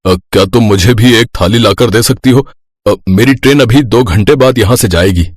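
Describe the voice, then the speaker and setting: A man voicing a gentle, hesitant traveller in a kindly storybook manner. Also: fairly low pitch, moderate pace, dry recording, studio quality, pitched below the performer's own voice, lightly acted. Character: gentle, hesitant adult male traveller, fond of children. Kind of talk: storybook character acting.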